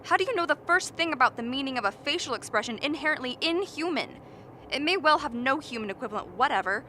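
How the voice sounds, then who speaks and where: A woman's voice: sits high, expressive, fast, bright, forceful tone, slight background noise. A woman playing an earnest, impatient biologist in a radio drama, lecturing and argumentative. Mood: indignant. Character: earnest, impatient expedition biologist defending a frozen creature. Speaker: actor in a radio play.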